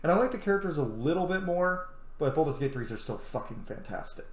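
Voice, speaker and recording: A man's voice: a little high, expressive, fast talker. A man giving casual gameplay commentary, gushing and opinionated, swearing freely as he goes. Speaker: gamer commenting on a playthrough. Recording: roomy echo, faint background noise.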